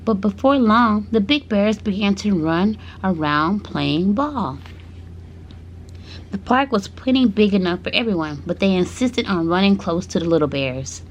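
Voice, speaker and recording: A woman reading children's stories aloud to her baby, speaking gently and breaking off for playful asides. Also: medium pitch, lively intonation, a little slow, a little hiss or hum.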